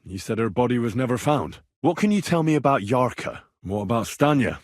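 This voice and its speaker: A man's voice: medium pitch, sing-song, fairly quick, no echo, studio quality. A man playing a stubborn, earnest young man in a video game, speaking plainly and probingly as he investigates. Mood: questioning. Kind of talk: video game character dialogue.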